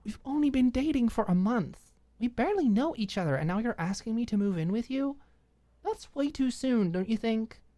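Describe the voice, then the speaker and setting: A woman narrator plays an exasperated young woman in an audiobook romance drama. She is defensive and indignant, hitting her words hard. The voice jumps well above the reader's low register, close and dry. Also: lively intonation, moderate pace, dark tone, no room sound, close to an everyday voice. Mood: stunned, exasperated. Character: Maud, irritated, defensive young woman fed up with girlfriend.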